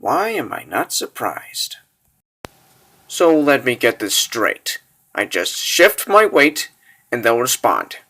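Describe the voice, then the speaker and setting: A man voicing a brash, sardonic mercenary woman in a comic book dub, curt and deadpan. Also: mid-range voice, slow pace, heavily acted. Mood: dry. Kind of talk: comic book dub, dramatic character voices.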